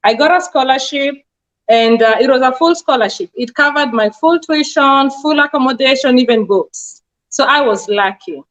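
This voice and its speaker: A woman's voice: sits high, moderate pace, a bit bright. A woman in her forties from Kenya with a Kenyan accent, a nurse practitioner answering interview questions earnestly with many fillers. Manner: earnest, explanatory, with frequent fillers. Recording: dull lo-fi sound.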